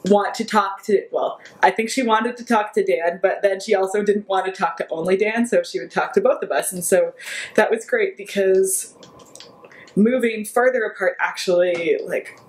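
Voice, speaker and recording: A woman's voice: medium pitch, moderate pace, low-quality recording. A woman talking casually in an interview about her friendship with a coworker, chatty and full of fillers. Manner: chatty, uses lots of "like" and "so". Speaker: campus minister supervisor.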